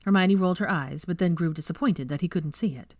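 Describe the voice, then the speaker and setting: A woman's voice: lowish voice, quick pace, darkish tone, no room sound, clean studio sound. A woman reading scripted story narration as an audiobook narrator. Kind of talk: scripted narration of fan fiction.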